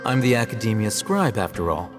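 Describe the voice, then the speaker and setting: An actor playing a calm, measured male academy scribe who explains things in a scholarly way in a video game. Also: fairly low pitch. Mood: reasoned. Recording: long reverb with game music.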